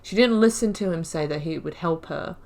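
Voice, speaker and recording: Gaming host, female, lowish voice, talks her choices through out loud, casual and rambly. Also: moderate pace, gravelly. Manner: thinks aloud, rambling, corrects herself mid-sentence; casual and chatty with slangy asides. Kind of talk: let's-play commentary.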